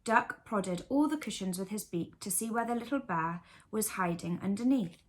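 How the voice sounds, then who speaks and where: A woman's voice: mid-range voice, lively intonation, moderate pace. Woman narrating a children's bedtime story.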